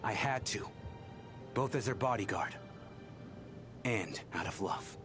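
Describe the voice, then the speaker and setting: A rueful, gallant ghost over music. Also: male voice, fairly low pitch, expressive, slow pace. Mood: sorrowful recounting. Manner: earnest, wistful, self-effacing. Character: remorseful ghost of a devoted bodyguard, gallant and rueful. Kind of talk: video game voice acting. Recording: game music behind the voice.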